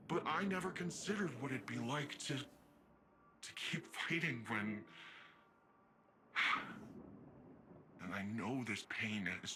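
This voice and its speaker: A man acting a young cyborg hero in a video game cutscene, earnest and open-hearted, speaking informally and haltingly as if confiding his grief. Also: medium pitch, background noise, natural voice. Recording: dramatic music behind the voice.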